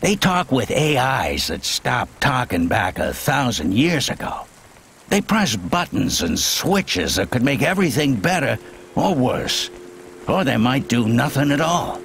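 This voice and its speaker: An actor playing an eccentric, self-important, muddled engineer in a video game, rambling in a gravelly voice. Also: male voice, mid-range voice, sing-song, measured pace, fairly bright tone, slight background noise, exaggerated voice. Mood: pompous, scornful.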